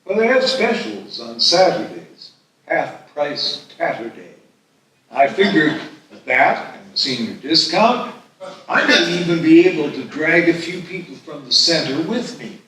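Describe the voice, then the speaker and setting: A man playing a mischievous, sweetly stubborn eighty-year-old husband with an earnest deadpan, at a live table read. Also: a little high, a little slow. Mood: pleased, wistful. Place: live festival stage reading. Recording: echoey hall, distant microphone.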